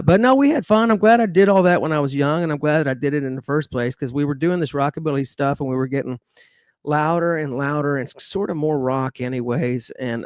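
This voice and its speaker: Man, middle-aged, relaxed guest, light crooner's voice, rambly, dry close mic. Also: clear, resonant tone, dry recording. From Dallas, Texas. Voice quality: fairly high crooning voice. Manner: easygoing storyteller, lots of fillers and restarts. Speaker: musician guest. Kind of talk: relaxed podcast interview.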